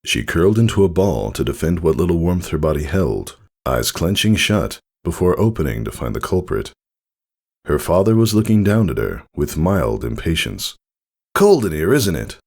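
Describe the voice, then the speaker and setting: A man with a low voice narrates a story from a script. There is fry in his tone, and his delivery moves expressively up and down. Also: big pitch swings, moderate pace, darkish tone. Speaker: fan fiction reader, narrator.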